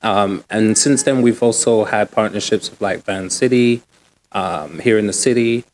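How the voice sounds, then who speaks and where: A man talks easily as an interview guest. His voice sits low, and he is relaxed and slangy, with plenty of ums and likes. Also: moderate pace, low-quality recording. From Uganda, raised in the UK. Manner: relaxed, slangy, many fillers. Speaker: guest, nonprofit co-founder. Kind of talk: casual interview talk.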